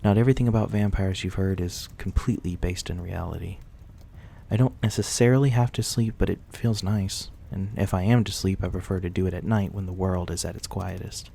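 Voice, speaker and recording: Casting: male actor as a gentle, courteous vampire host for ASMR roleplay, low and mellow, soft old-fashioned politeness. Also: fairly even intonation, a little fast, creaky, slight background noise. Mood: candid, playful. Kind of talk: intimate ASMR roleplay acting.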